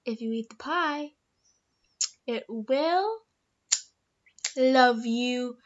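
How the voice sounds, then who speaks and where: A woman's voice: high voice, drawn-out words, muffled recording. A teenage girl co-hosting an improv comedy podcast, rambling in a playful, theatrical way. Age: teens. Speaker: podcast co-host.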